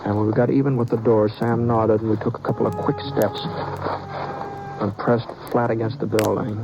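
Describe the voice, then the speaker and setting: An actor playing a drifting gunman who narrates his own story in a slow, drawling, understated way, in 1950s radio drama style. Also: lowish voice, little pitch movement, vocal fry, over loud music, poor audio. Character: drifting male gunman, calm and plainspoken. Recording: old radio broadcast with hiss.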